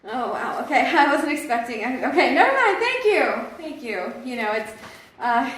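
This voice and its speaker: A woman playing a nervous, awkward ex-girlfriend who speaks haltingly in a comedy sketch. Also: high voice, sing-song, fairly bright tone. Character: flustered, awkward young woman meeting her ex. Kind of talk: comedy sketch in old-time radio variety show. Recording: very echoey room, distant, noisy.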